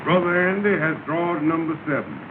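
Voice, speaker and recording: A man's voice: fairly high pitch, animated, normal speed, lightly acted. Man playing a pompous lodge leader, tinny old film. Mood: ceremonious. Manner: pompous, ceremonious, with malapropisms. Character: pompous, ceremonious male lodge leader presiding over meeting. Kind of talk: 1930s film comedy acting, formal speechifying. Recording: scratchy, tinny, noisy 1930 film soundtrack.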